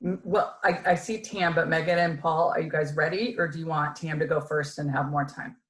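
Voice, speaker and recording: A facilitator briskly passing turns to speakers at a board meeting over a video call. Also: mid-range voice, steady tone, a bit bright, reverberant. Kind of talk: moderating a meeting.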